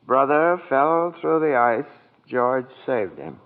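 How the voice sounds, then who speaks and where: Slow, innocent, curious angel. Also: male voice, medium pitch, very animated. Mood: innocent wonder. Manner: slow, wondering echoes. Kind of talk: 1940s radio acting. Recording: muffled old radio broadcast, faint music.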